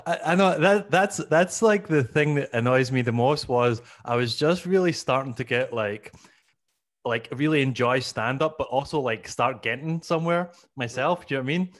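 A man's voice: mid-range voice, normal speed. A man in his thirties from Scotland with a Glaswegian accent, a comedian guest telling self-mocking stories in a casual interview, speaking into a studio microphone at home. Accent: Glaswegian Scottish. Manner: rambling, self-mocking, acts out his past self. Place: small room at home, video call. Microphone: studio mic on boom arm.

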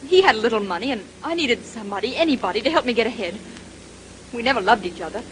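An actress playing a high-strung, defensive, emotional showbusiness woman in a 1930s radio melodrama. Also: animated, quick pace, natural voice. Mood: anguished confession. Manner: emotional, dramatic, insistent. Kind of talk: 1930s radio drama acting. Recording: noisy vintage 1930s radio recording.

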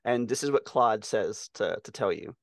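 A man's voice: fairly low pitch, expressive, moderate pace, gravelly, no room sound, studio quality. A man in his forties chatting casually as a podcast co-host. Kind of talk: casual chat. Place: indoors, video call. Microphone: headset.

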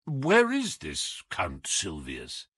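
A man reading an audiobook as a loyal, earnest doctor, concerned and halting, in a husky, gravelly voice. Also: sits low, wildly swooping pitch, a bit bright, no echo, studio quality, voice pushed down, heavily acted. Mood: anxious, earnest. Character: loyal, anxious, earnest male doctor, detective's companion.

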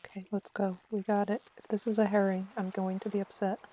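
A woman's voice: mid-range voice, little pitch movement, a little fast, dark tone, a little hiss or hum. A woman giving casual, chatty commentary on a live game stream, talking to herself with mock exasperation.

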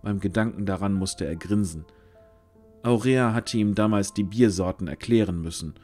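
A man serves as narrator of a scripted fantasy audio drama. His voice sits slightly on the low side, telling the tale over faint music. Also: normal speed, darkish tone.

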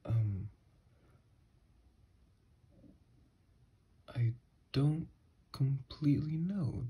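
A man voicing an obsessed, unhinged kidnapper scientist in an ASMR horror roleplay, soft and breathy, coaxing gently with quiet menace. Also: medium pitch, heavily acted. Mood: tender, hesitant. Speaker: ASMR audio roleplay voice actor. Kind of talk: ASMR horror roleplay monologue, partly whispered.

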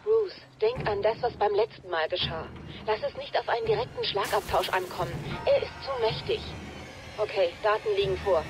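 An actress playing a young female tech support ally over radio in a German game dub, brisk and caring. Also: mid-range voice, huge pitch swings, over music. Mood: urgent.

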